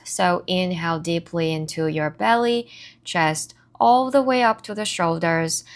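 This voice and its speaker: A woman leading a guided body scan meditation as an instructor, giving soothing, measured instructions in short phrases with pauses between them. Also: on the low side, a bit bright. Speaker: meditation and somatic movement instructor.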